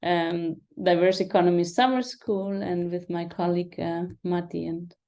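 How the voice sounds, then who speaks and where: A woman's voice: lowish voice, fairly even intonation, moderate pace, dark tone. A woman PhD candidate introducing a conference session on a video call, hesitant with many ums. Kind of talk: conference presentation. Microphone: computer mic on video call.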